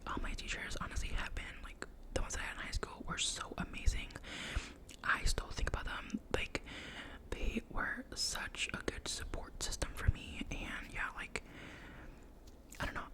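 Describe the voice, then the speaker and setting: A young woman whispering a rambling personal story, full of "like" and self-corrections, in the style of an ASMR storytime. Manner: soft whisper throughout. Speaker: vlogger.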